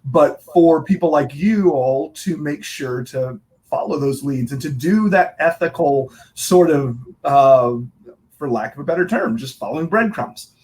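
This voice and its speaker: A man's voice: a little high, a little slow, darkish tone. A man hosting a casual interview, enthusiastic and agreeable, laughing readily with his guest. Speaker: interview host.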